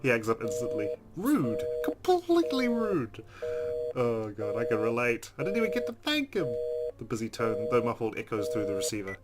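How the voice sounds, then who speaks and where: A man's voice: medium pitch, wildly swooping pitch, moderate pace. A man doing a let's play, reading game narration aloud expressively and adding casual, informal asides. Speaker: let's player. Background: game music.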